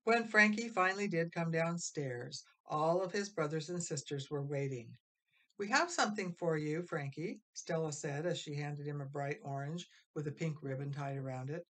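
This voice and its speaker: Low-pitched woman reading a storybook. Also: normal speed, few pauses. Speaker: storybook reader. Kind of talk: expressive scripted narration of a children's story.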